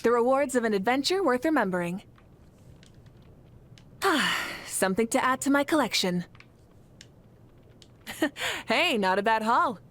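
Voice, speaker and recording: A woman's voice: on the high side, lively intonation, measured pace, long pauses, a bit bright. A woman voicing a poised, self-assured adventurer in a video game. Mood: pleased, poised.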